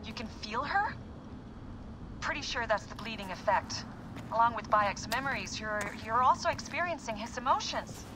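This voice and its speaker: Actress as careful, fretting medical monitor, modern and conversational, higher register, game drama over ambient noise. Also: female voice, lively intonation, moderate pace, lightly acted. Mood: concerned. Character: cautious, worried modern female medical assistant. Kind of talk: naturalistic video game acting.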